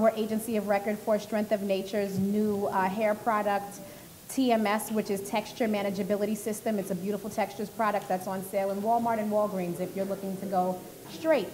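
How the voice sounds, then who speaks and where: A woman's voice: medium pitch, low-quality recording. Upbeat chatty woman on a panel. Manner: upbeat, chatty, frequent ums and laughs. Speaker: panelist, agency founder. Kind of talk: panel self-introduction.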